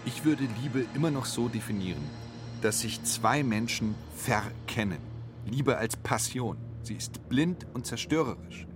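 A man's voice: medium pitch, a little slow. A man giving reflective, theoretical commentary. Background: music.

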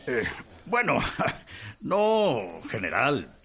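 An actor playing an evasive, ingratiating older government envoy in a radio drama, with a Mexican accent and a hesitant, placating manner. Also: male voice, mid-range voice, huge pitch swings, very slow, a bit bright, heavily acted. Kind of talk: Spanish-language radio drama acting.

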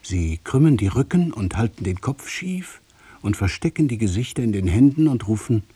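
A man narrating a radio play from a literary script, deliberately, in short phrases set apart by pauses. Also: on the low side, very animated, warm tone, slightly rough, no echo.

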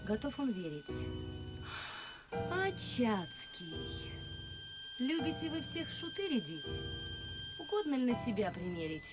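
An actress playing a proud, resentful young noblewoman, measured and theatrical, in a radio verse drama. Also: a little high, wildly swooping pitch. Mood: coolly scheming. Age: young adult. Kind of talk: classic stage verse acting for radio. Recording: loud music behind the voice. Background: music.

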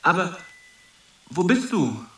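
Dreamy man with lively intonation. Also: sits high, slow pace, husky, exaggerated voice. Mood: searching, lost. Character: Steve, dreamy searching boyfriend, distant and puzzled. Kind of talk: poetic radio drama dialogue.

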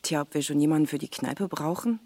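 A woman's voice: low-pitched, brisk, mellow, no echo. An actress playing a young woman who runs a village pub, polite and curious, with brief replies in a radio play.

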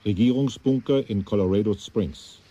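A man playing a sober announcer in a 1980s radio drama, with a muffled, husky voice. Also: fairly low pitch, moderate pace, dark tone, lightly acted. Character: sober male announcer of places and broadcasts.

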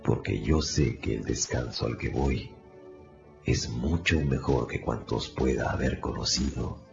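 An actor playing a serene, noble condemned lawyer, delivering a grave, prophetic monologue in a radio drama. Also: male voice, very deep voice, measured pace, vocal fry, full character voice. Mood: resolute, peaceful. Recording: dull recording, loud music behind.

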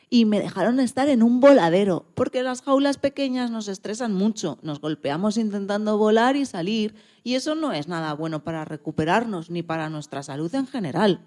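A woman's voice: mid-range voice, darkish tone. A woman hosting a radio program, reading from a script.

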